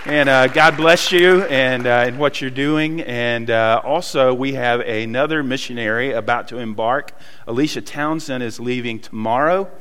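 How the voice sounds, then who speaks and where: A man's voice: mid-range voice, a bit bright, clean tone, a faint crowd. Southern man preaching in a hall. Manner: folksy storytelling with many pauses and fillers. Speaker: pastor. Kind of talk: sermon. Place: church hall.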